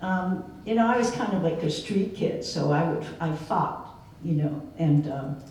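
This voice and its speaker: Mellow woman speaker in an echoey hall. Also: deep voice, expressive, measured pace. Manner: reflective, conversational, storytelling with laughs. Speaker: keynote speaker. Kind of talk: answering an audience question. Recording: echoey hall from far off, faint noise.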